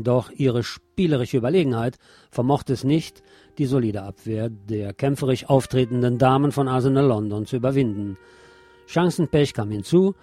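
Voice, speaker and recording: A man's voice: mid-range voice, mellow. A man presenting scripted news and commentary on the radio, reading as a presenter.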